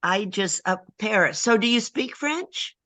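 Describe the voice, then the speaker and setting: Lady interviewer, super bright voice, gushy and a bit stumbly. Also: medium pitch, animated. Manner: effusive, a bit halting. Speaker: host, senior editor. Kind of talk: interview hosting.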